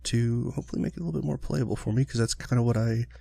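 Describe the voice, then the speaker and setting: Gaming host, male, rambling casual commentary; gravelly, dark voice with a lingering cold. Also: medium pitch, very fast. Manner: rambling, filler-heavy, relaxed. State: recovering from illness, sniffly, clearing his throat. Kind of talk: casual let's-play commentary.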